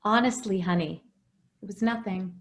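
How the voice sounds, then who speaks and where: A woman playing a gentle, anxious housekeeper who protects a child, hesitant and soothing, in old-time radio drama style. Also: medium pitch, normal speed, lightly acted. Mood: soothing, evasive.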